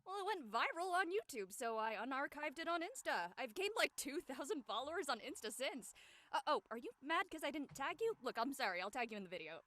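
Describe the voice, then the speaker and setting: A woman's voice: sits high, sing-song, brisk, bright, forceful tone, no echo, full character voice. A woman voicing a blunt, breezy, unapologetic teenage girl in a comedy drama. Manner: breezy, matter-of-fact, teasing. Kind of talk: comedic scripted audio drama dialogue.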